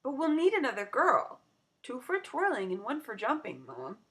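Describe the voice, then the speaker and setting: Woman reading as an earnest young schoolgirl, lively pitch. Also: moderate pace, heavily acted. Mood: puzzled. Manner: plain-spoken, earnest.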